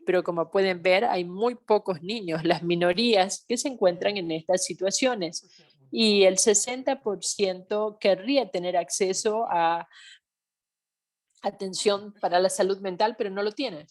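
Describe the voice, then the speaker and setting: A woman simultaneously interpreting a health training webinar, speaking in halting phrases with pauses and self-corrections. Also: medium pitch. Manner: halting delivery with pauses and restarts.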